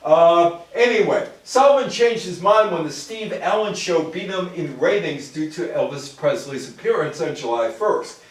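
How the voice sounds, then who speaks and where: A man's voice: on the high side, animated, moderate pace, few pauses. An older American man giving an informal public talk on history, addressing listeners directly with short asides. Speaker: lecturer. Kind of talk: public talk on music history, reading quotes and commenting. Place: echoey room with an audience. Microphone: distant microphone. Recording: very echoey room, distant microphone.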